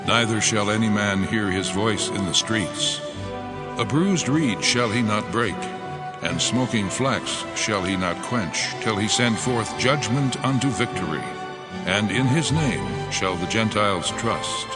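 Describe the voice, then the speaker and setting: A man's voice: on the low side, animated, takes it slowly. A man voicing a prophet's words, grave and proclaiming. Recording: voice under loud music.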